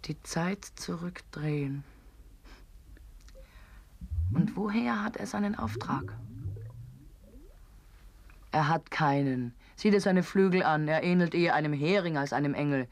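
A stern, devout sceptic in a radio play. Her voice is low for a woman. She speaks with pointed doubt. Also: normal speed. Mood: sceptical, intense. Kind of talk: radio drama acting.

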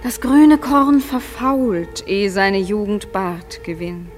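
An actress playing a proud, indignant fairy queen, declaiming verse in a grand, deliberate way for a radio play. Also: mid-range voice, animated. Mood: grave, accusing. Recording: music behind the voice.

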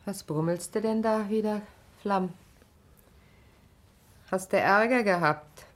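An actress playing a grave, perceptive, caring wife in a radio drama, speaking earnestly and probingly. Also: female voice, mid-range voice, takes it slowly, lightly acted. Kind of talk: naturalistic German radio drama acting.

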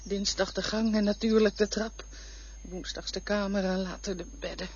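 A woman's voice: mid-range voice, fairly even intonation, normal speed, a little mellow, natural voice. A woman playing a worn-out, bitter mother. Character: weary overworked mother darning socks. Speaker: actor in a radio play. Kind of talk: radio drama acting. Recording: dull lo-fi recording with faint hiss.

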